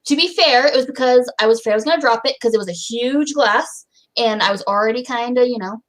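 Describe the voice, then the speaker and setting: Female, American, higher register, casual rambling livestream host. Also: fast talker, a bit bright. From Utah, United States. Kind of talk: casual chat.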